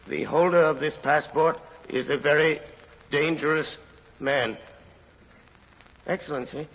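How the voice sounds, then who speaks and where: An actor in an old radio drama playing a desperate, embittered convict who stammers and repeats himself in a halting way. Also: male voice, on the high side, fairly even intonation, gravelly, a bit bright. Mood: slow, bitter. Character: desperate, embittered male convict, humble then defiant. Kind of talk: 1930s radio drama acting. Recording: noisy old radio recording, muffled, reverberant hall.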